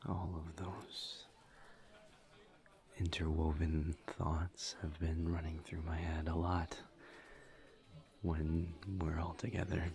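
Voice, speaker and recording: A man acting as a courteous incubus demon in an intimate audio roleplay. His voice is very low and full of gravelly fry, and he picks his formal words slowly, with halting, soft, breathy delivery and earnest awkwardness. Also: level tone, full character voice. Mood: awkward, earnest.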